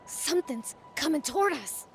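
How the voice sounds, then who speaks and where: A woman's voice: sits high, expressive, normal speed, soft background music. A woman playing a brash young demon prince in a video game, with a blunt, casual, boyish way of talking. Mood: puzzled. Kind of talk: video game character acting.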